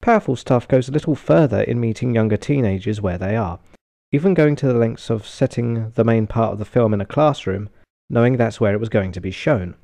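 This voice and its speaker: British guy reviewing stuff, dry sarcastic and sweary, dark gravelly voice. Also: medium pitch, a little fast, hardly any pauses. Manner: deadpan, sardonic, sweary. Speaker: film reviewer. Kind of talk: scripted review narration.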